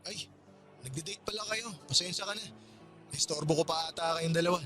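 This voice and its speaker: A man in a radio drama playing a polite male friend who keeps apologizing and warmly invites someone over. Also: on the high side, a little fast, over music, lightly acted. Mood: friendly.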